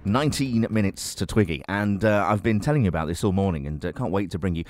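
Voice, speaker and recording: Lively radio presenter. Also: male voice, low-pitched, fast talker. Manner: lively, stumbling over words, laughs between questions. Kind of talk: radio interview.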